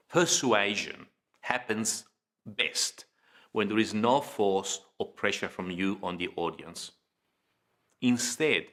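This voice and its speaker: A man presenting an instructional lesson in a measured, deliberate way, pausing between phrases and stressing key words. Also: on the low side, pushed and bright. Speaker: presenter of public speaking training video. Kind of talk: informative instructional lecture, scripted delivery.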